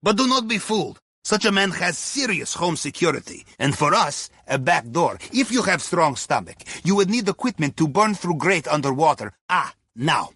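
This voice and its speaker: An actor playing a wry, upbeat heist planner in a video game, with a Russian accent, a husky voice and folksy, article-dropping speech. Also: male voice, a little high, big pitch swings, normal speed, hardly any pauses, pushed and bright, no room sound, studio quality, heavily acted. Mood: conspiratorial, upbeat. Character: wry, upbeat male submarine captain's sidekick and heist planner. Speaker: mission guide. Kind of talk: scripted video game voice lines.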